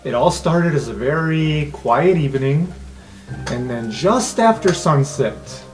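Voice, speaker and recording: A man working as game master, telling an improvised fantasy scene dramatically with deliberate pauses. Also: fairly high pitch, animated. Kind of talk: improvised scene-setting narration for a tabletop game. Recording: background music under the voice.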